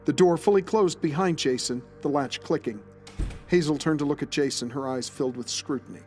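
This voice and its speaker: A man's voice: fairly high pitch, fairly quick, darkish tone, faint music behind. A man narrating a scripted drama as a storyteller, reading descriptive prose.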